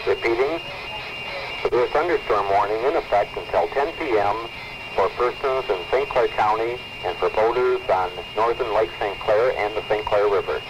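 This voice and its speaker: A man reading scripted weather bulletins as a radio announcer, in formal, measured phrases. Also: lowish voice. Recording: muffled, noisy 1970s weather radio recording.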